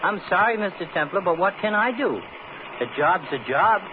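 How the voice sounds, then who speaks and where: A man's voice: high-pitched, expressive, moderate pace. An actor playing a genial, dim-witted New hired gunman in a 1950s radio drama, slangy, apologetic and matter-of-fact. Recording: tinny, noisy old radio recording.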